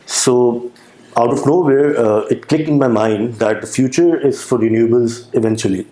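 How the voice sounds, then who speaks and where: A young man with a Pakistani accent, answering interview questions with a hesitant manner, full of pauses and restarts. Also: medium pitch, mellow, reverberant, rough recording. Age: young adult. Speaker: guest entrepreneur.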